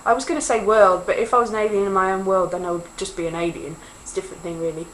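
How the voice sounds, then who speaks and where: A woman from Wales talks to camera in a loose, wandering monologue, poking fun at herself with jokey asides. Her pitch sits mid-range for a woman, and a faint hiss of background noise runs under her voice. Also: fairly even intonation, rapid-fire, rough recording. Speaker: vlogger. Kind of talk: rambling casual monologue.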